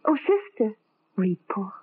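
A woman's voice: medium pitch, very animated, unhurried, slightly rough, exaggerated voice. An actress playing a tender, devoted young woman in love, earnest and emotional, in an old-time radio drama with tinny sound.

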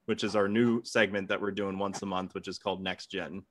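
A man's voice: low-pitched, little pitch movement, brisk. A man chatting casually on a video call as a debate contestant and podcaster. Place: indoor room at home.

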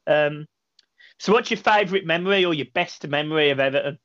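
A man's voice: fairly high pitch, a little fast, a bit bright, no echo. A man in his thirties hosting a fan channel, chatting casually over a video call from a small room at home and often repeating words as he starts a sentence. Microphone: headset on a video call. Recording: dry, dull lo-fi call audio.

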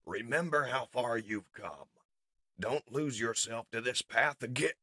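A man's voice: medium pitch, measured pace, bright, forceful tone, slightly rough, no echo, studio quality, voice pushed up. A man voicing a noble robot leader who commands in a stern, earnest, declamatory way, in a comic-book story. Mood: earnest concern.

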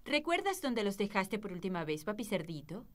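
A woman voicing a caring, brisk mother pig in a preschool cartoon, speaking in an expressive, motherly way. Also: medium pitch, noisy background, close to an everyday voice. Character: caring, brisk mummy pig, adult female.

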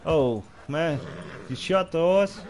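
A man's voice: a little high, expressive, slow, darkish tone, slight background noise. A young man from the Netherlands with a Dutch accent, chatting casually with viewers and fellow players while hosting a game livestream. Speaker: livestream host. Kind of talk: casual gaming livestream chat.

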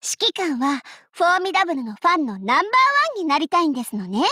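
A woman's voice: sits high, sing-song, very fast, pushed and bright, dry recording, clean studio sound, exaggerated voice. A woman voicing an elegant young lady idol in an anime game, proud and playful, with refined, teasing, theatrical speech and an easily flustered streak. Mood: teasing, confident.

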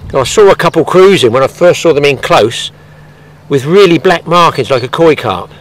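A man's voice: on the high side, animated, normal speed, low-quality recording. A man in his sixties giving a plain-spoken, practical angling demonstration outdoors on a lake bank, talking while he works with his hands. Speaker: fishing instructor.

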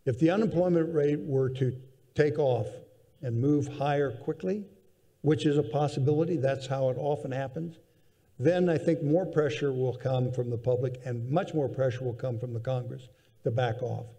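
Older male panel guest, gravelly and measured, echoing hall. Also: medium pitch, dark tone. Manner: measured, thoughtful, with frequent restarts. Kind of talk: conference panel discussion. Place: large conference hall stage, seated in armchair. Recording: reverberant convention hall.

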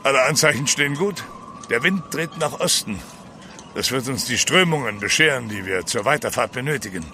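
An actor playing a stern, proud ship's captain in an audio drama, speaking with formal command. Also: male voice, medium pitch, animated, brisk, bright, forceful tone, a little hiss or hum. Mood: confident. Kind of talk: German radio play acting.

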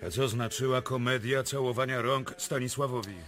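An actor playing a terse, sceptical questioner in a radio drama, probing and challenging. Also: male voice, medium pitch, steady tone, moderate pace, a bit bright, soft background music, natural voice.

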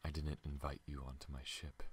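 Man voicing a very deep, weary alien pilot. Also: flat delivery, a little hiss or hum. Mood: earnest, grateful. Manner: slow, halting, earnest. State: weak and recovering from wounds. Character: gentle, honorable, battle-worn tall male alien pilot. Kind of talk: ASMR audio roleplay.

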